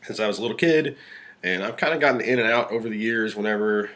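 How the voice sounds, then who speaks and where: A man's voice: fairly low pitch, quick pace, fairly bright tone. A young man co-hosting a podcast, chatting casually, rambling and trailing off mid-thought. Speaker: podcast co-host. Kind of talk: casual chat.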